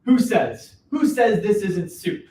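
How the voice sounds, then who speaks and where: Seeking an actor for a scheming, wheedling old dad for a stage reading; animated, repeats himself, distant from the mic. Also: mid-range voice, slow. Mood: exasperated. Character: Joe, cajoling, exasperated older father, scheming scavenger. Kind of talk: dramatic play reading. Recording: roomy, distant mic, faint noise.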